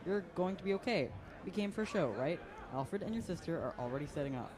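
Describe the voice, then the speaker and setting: Audio drama part: protective, blunt young adult, acted, rapid everyday speech over a clear crowd background. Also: medium pitch, expressive, close to an everyday voice. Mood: encouraging. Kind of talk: naturalistic audio drama acting. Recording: crowd murmur behind.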